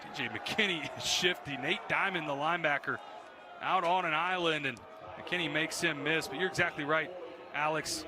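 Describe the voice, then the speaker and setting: A man's voice: on the high side, moderate pace. A man working as a color commentator, giving analysis during a live football broadcast.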